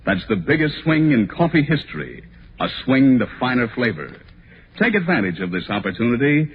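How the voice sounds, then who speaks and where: Male radio announcer, polished vintage sales pitch, very lively intonation, muffled hissy recording. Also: a little fast. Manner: polished, declarative sales delivery. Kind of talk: 1940s radio commercial announcing.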